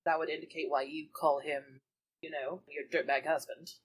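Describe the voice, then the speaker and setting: A woman's voice: low voice, level tone, normal speed, breathy edge. A woman casually improvising narration and dialogue as a player in a tabletop roleplaying game, informal and conversational.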